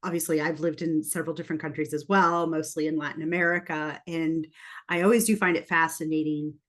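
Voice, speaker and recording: A woman's voice: sits low, fairly even intonation, fairly quick, fairly bright tone. A woman hosting a casual interview over a video call, upbeat and enthusiastic. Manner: upbeat, enthusiastic, laughs easily. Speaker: host.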